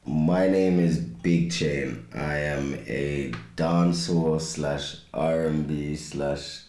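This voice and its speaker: A man speaking as the guest on a live podcast, laid-back and quick to laugh. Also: extremely low pitch, fairly even intonation, a little mellow, a little hiss or hum. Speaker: guest musician. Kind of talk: casual chat on a live podcast. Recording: echoey room.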